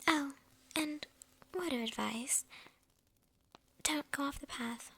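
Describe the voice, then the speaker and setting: A woman playing a lonely, possessive witch in an ASMR roleplay, whispering in a breathy, creaky voice with sly, coy amusement. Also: high-pitched, fairly bright tone, exaggerated voice. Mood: bossy, amused. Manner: unhurried, coy, amused, addressing the listener intimately. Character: lonely, possessive young witch, slyly menacing and teasing.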